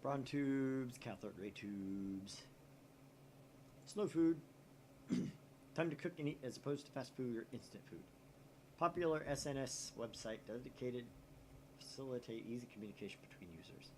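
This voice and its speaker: A man's voice: medium pitch, lively intonation, measured pace, long pauses, a little hiss or hum. A man from the United States hosting a casual let's play, reading a visual novel aloud with jokey, opinionated asides.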